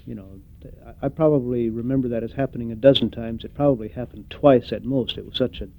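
An older man giving an oral history interview, reflective and hesitant, pausing often with fillers. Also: medium pitch, vocal fry. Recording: tinny phone-like recording.

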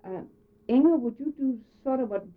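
A woman interviewer asking measured, prompting questions and murmuring mm-hmm. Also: sits high, expressive. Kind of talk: oral history interview. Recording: narrow phone-like old tape.

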